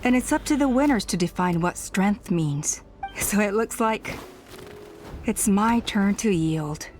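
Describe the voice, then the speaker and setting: An actress playing a solemn, proud woman of a warrior clan in a video game, speaking deliberately. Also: medium pitch, animated, darkish tone, faint music behind, close to an everyday voice.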